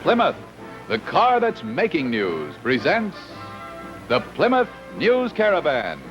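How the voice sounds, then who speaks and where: A man's voice: fairly high pitch, wildly swooping pitch, takes it slowly. An American man doing scripted 1950s television sponsor announcing. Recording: dull vintage broadcast, music behind.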